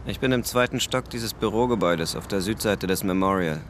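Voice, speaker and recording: A disciplined police sniper in a radio drama, played by a male actor. His low voice gives clipped, controlled reports. There is faint outdoor noise behind him. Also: brisk, lightly acted. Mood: controlled, focused. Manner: clipped military-style reporting. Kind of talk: German radio drama acting.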